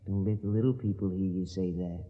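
A man's voice: sits low, fairly even intonation, fairly quick. An actor playing a teasing, coaxing young man who clings to childhood magic in a radio drama. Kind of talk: 1980s radio drama acting. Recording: muffled audio with long ghostly reverb.

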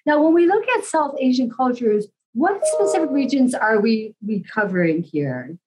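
Lively, high-voiced woman podcast host. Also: moderate pace, background noise. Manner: friendly, affirming interviewer. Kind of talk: podcast interview.

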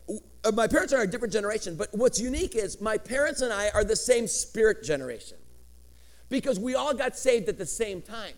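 A middle-aged male preacher from Chicago, raised speaking Greek, delivers a sermon full of rising and falling inflections and quick joking asides. His voice sits high for a man. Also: animated. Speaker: pastor.

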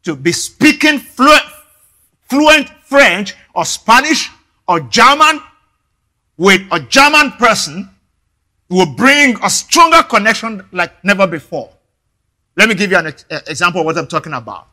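A man from Nigeria, a native Yoruba speaker, preaching a sermon earnestly, often repeating words and filling pauses. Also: high voice, expressive, bright, forceful tone. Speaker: pastor.

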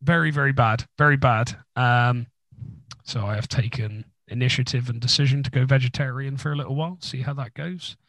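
A man co-hosting a podcast, chatting casually with dry jokes, his voice husky after being ill. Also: mid-range voice, fairly even intonation, a little fast, a bit bright, no room sound. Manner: dry joking, rambling. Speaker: podcast co-host. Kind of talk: casual chat.

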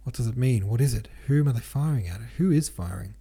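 A man's voice: mid-range voice, animated, fairly quick, warm tone, husky. A man voicing an earnest, anxious young hussar officer in an audiobook, urgent and questioning. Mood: alarmed.

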